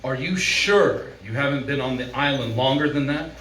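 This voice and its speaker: A man's voice: medium pitch, lively intonation, normal speed, a bit bright, close to an everyday voice. A man playing an earnest, commanding doctor in a live old-time radio drama, speaking with urgent emphasis. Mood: grave insistence. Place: live venue performance, picked up from a distance. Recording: distant, echoey room with faint noise.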